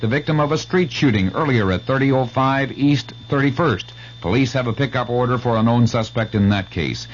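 An American man reads a local newscast in a formal scripted manner at a medium pitch. The sound is a dulled vintage radio recording with some hiss. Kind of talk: formal scripted news reading. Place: radio news studio. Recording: dull noisy 1970s AM radio recording.

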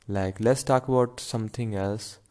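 A nineteen-year-old man, rambling casually at home in a halting way, full of fillers and restarts. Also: lowish voice, fairly even intonation, a little mellow. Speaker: vlogger.

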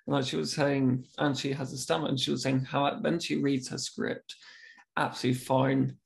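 A man's voice: medium pitch, level tone, creaky. A young man who stammers, talking openly about it in a casual conversation as host. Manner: speaks with a stammer, pausing and restarting words.

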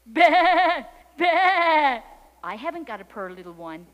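A woman's voice: mid-range voice, sing-song, measured pace, long reverb tail, dull audio. A woman voicing an old, kindly bleating sheep in a storybook, with folksy talk. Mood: kindly, resigned.

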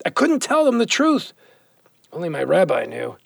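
Man acting gravelly, haunted gambler cop. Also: high voice, wildly swooping pitch, moderate pace, no echo, clean studio sound, exaggerated voice. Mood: bitter regret. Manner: brooding, world-weary, confessional. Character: weary, guilt-ridden male ex-cop, a compulsive gambler. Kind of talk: audio drama acting.

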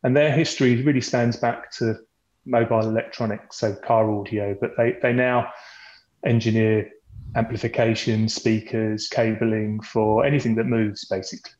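Guy explaining company stuff on a podcast, kinda halting with repeated words, roomy dull recording. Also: lowish voice, flat delivery, darkish tone. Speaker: company representative, podcast guest. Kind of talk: conversational business presentation. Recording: boxy echoey room, dull lo-fi sound.

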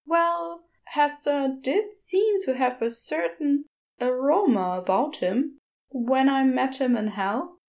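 A woman voicing a fussy, gentle, bookish male angel in a storybook reading, prim and polite. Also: sits high, lively intonation, takes it slowly, vocal fry, full character voice. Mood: anxious, reassuring. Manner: prim, polite, old-fashioned wording.

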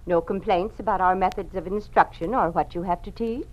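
Actress as a prying official, muffled radio drama. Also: female voice, lowish voice, a little fast, close to an everyday voice. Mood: probing. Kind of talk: old-time radio drama acting. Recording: muffled old radio recording.

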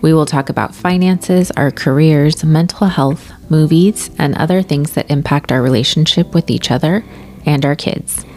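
Low-voiced woman podcast host. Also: moderate pace, mellow. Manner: organized, reads stats and prompts. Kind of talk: podcast hosting, partly read intro.

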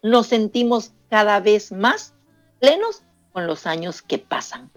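A woman hosting a radio chat show, reading listener messages aloud and joking along. She sits at a mid pitch, and soft music plays low behind her. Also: big pitch swings, takes it slowly, lo-fi sound. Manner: warm, playful, reads listener messages aloud.

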